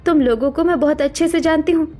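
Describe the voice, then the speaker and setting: A woman's voice: sits high, quick pace, a little mellow, close to an everyday voice. A woman voicing a hurt, defensive young woman in a cartoon story, emotional and distrustful. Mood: defensive, angry. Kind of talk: Hindi cartoon story voice acting. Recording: music behind the voice.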